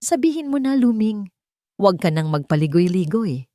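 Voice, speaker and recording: A woman's voice: medium pitch, big pitch swings, moderate pace, warm tone, no echo, studio quality, natural voice. A woman voicing a haughty, imperious older rich mother in an audio love story, with a cutting manner. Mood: impatient, commanding.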